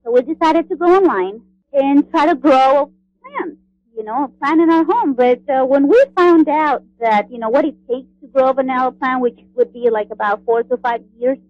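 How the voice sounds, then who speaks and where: A woman, talking in a friendly, halting way. The sound is dull and lo-fi, like a phone line, and very dry. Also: high-pitched, no room sound. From Honduras. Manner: friendly, halting with many fillers. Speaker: guest, cookbook author. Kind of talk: radio interview.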